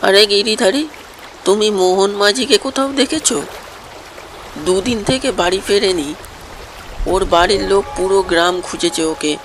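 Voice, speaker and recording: A man acts out a cartoon village fellow in a strikingly high voice. He sounds concerned and urgent, asking his questions over clearly audible watery noise. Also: normal speed, long pauses, a bit bright. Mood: worried, inquiring. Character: worried village man asking after a missing boatman. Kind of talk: cartoon dialogue.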